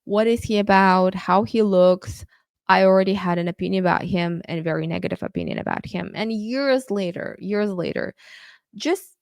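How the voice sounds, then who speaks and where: A woman's voice: medium pitch, dry recording. Uzbek-native woman podcasting solo, earnest, stops and restarts a lot, dry close sound. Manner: earnest, halting with frequent restarts. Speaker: podcast host. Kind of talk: casual monologue.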